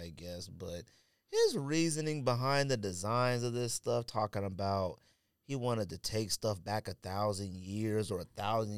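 Low-pitched man with animated, swinging intonation. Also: clear, resonant tone, no echo, studio quality. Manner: slangy, incredulous, blunt. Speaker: sneaker podcast co-host. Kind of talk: casual banter and opinionated commentary.

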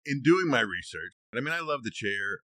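A man's voice: medium pitch, big pitch swings, moderate pace, bright, forceful tone, no room sound. A man co-hosting a casual design discussion, telling researched history in a conversational, hedging way, as if thinking aloud. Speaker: podcast co-host.

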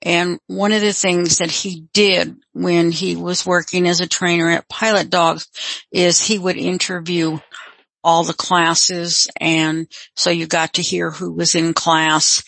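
A woman's voice: lowish voice, vocal fry, a bit bright, no room sound, rough recording. A woman from the United States calling in to a program, reminiscing casually. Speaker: caller, magazine subscriber.

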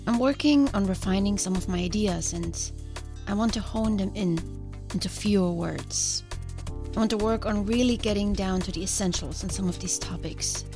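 A woman hosting her own podcast, talking in a calm, earnest and reflective way, with a congested voice from a sinus infection. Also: medium pitch, normal speed, over music. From outside the country she now lives in. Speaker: podcast host. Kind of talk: reflective solo podcast monologue.

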